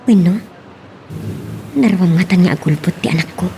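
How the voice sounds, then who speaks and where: A woman playing a worried, watchful mother with a Filipino accent, speaking deliberately in an audio drama monologue. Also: on the low side, mellow, a little hiss or hum, lightly acted. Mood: brooding.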